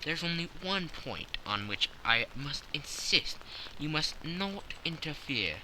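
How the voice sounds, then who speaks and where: A man's voice: on the high side, sing-song, slow pace, background noise. A man voicing a keen-minded, self-assured male detective in an audiobook, giving precise and authoritative instructions. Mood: commanding, precise. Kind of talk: audiobook character dialogue.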